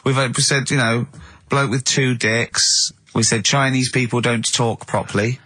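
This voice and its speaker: An English man co-hosting a radio show with excitable, teasing banter and a readiness to laugh. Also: medium pitch, normal speed, dry recording. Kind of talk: unscripted comic radio banter.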